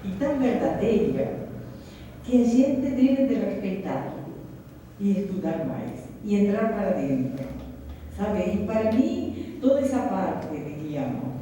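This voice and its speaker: An Argentine woman talks in a loose, rambling way, slipping Spanish words into her Portuguese. Her voice is dark and mellow. She is recorded from a distance in a hall with a long, roomy echo. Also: medium pitch, big pitch swings, a little slow, a little hiss or hum. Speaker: guest artist. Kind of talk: conversational talk to an audience. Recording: echoey hall, mic far off.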